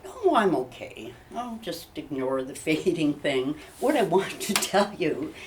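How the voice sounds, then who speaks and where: A woman's voice: low voice, very animated, unhurried, far from the mic, background noise. A woman playing a theatrical, indignant actress typecast as witches and stepmothers, gushing dramatically in a comedy mock interview. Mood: gushing, worked up. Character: theatrical, aggrieved actress who plays witches and evil stepmothers.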